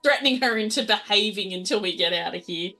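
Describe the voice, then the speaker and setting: A woman's voice: mid-range voice, fast, pushed and bright. A woman playing a tabletop role-playing game, improvising in character in a playful, sweary, casual way. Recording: music behind the voice.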